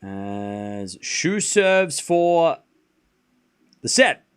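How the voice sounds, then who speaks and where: A man with a softened Australian accent calls a tennis match live for his stream viewers, his voice sitting a bit high. He jumps up in energy when a rally heats up, then slips into low asides as he answers chat. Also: drawn-out words, clear, resonant tone. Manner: rises excitedly on rallies, drops low and muttering between points. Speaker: streamer commentator. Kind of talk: live play-by-play commentary with chat.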